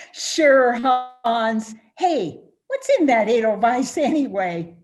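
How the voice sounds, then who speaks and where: A woman acts as a young, skeptical bar customer in a radio-style drama. The voice is high and swoops widely, with slow, exclamatory, incredulous phrasing. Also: big pitch swings, darkish tone. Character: young Billy, skeptical, excitable bar patron. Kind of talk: radio-play style readers theatre acting.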